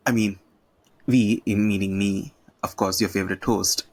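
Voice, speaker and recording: A man's voice: lowish voice, steady tone, normal speed, no room sound. A man hosting a podcast over a video call, playful and teasing, with lots of "you know". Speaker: podcast host.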